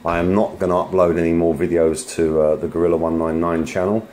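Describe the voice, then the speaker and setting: A man making an online video, rambling hesitantly to his viewers in a halting monologue. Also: low voice, level tone, a little mellow. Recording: noisy amateur video playback.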